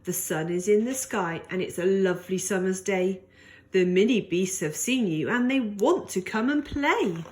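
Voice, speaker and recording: A woman reading a rhyming picture book aloud to young children in an expressive, sing-song way. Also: medium pitch, moderate pace. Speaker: story reader for pre-school children.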